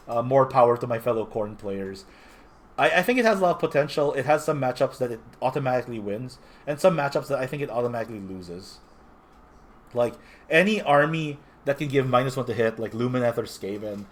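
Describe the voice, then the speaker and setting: Young man, slightly nasal, a little sick, casual recap, frequent self-corrections. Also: mid-range voice. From Philippines. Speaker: tournament player reporting.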